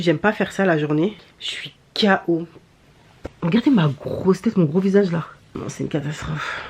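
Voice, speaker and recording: A woman's voice: sits low, darkish tone. A young woman with a cold chatting casually to her vlog audience, candid and slangy, grumbling and poking fun at herself. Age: young adult. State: has a cold. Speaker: vlogger.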